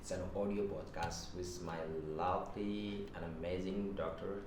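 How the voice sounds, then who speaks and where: A man's voice: low-pitched, little pitch movement, normal speed. A man in his thirties from Sudan with a Sudanese Arabic accent, a native Arabic speaker, hosting an informal podcast interview. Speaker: podcast host. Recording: echoey room, distant mic, background noise.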